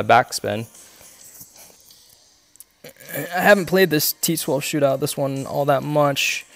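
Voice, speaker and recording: A man's voice: mid-range voice, creaky. Congested man talking through his game. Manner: explains his shots step by step, with many fillers. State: congested, coughing. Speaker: game streamer. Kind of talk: live gameplay commentary.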